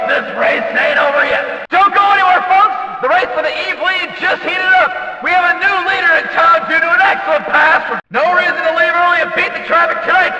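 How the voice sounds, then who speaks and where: A man playing an excitable, brash race announcer in a video game, calling the action with emphatic, hyped-up shouts. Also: very high voice, heavily acted. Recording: echoey reverberant announcer sound, distant.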